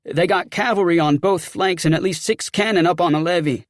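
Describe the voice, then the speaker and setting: A man's voice: sits high, a little fast, dry recording, clean studio sound, voice pushed up, close to an everyday voice. A man voicing a scared young Confederate sergeant in an audiobook, with folksy, ungrammatical, blurting speech. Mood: urgent alarm. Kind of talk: audiobook character acting.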